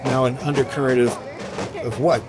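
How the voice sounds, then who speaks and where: An actor playing a radio announcer reporting live on a parade in 1950s radio drama style, dramatic and hesitant. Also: male voice, medium pitch, fairly even intonation, moderate pace, over music, close to an everyday voice. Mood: uneasy.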